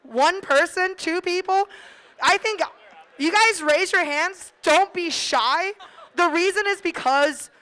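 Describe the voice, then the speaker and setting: A woman on a panel talks informally to the audience through a handheld mic. Her voice is high, and her way of talking is playful and full of slang. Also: takes it slowly, pushed and bright, rough recording. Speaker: panel speaker. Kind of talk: informal introduction and explanation to audience. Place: live stage event. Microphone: handheld stage microphone.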